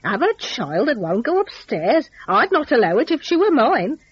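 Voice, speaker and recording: Nosy stern neighbour woman, done by a narrator, blunt, scolding, animated storybook telling. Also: on the high side, normal speed, breathy edge, no echo, dull audio, pitched above the performer's own voice, exaggerated voice. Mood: scornful.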